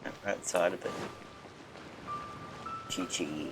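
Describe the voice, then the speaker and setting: A man streaming a game, making casual comments over loud game music. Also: low voice, fairly even intonation, takes it slowly, low-quality recording.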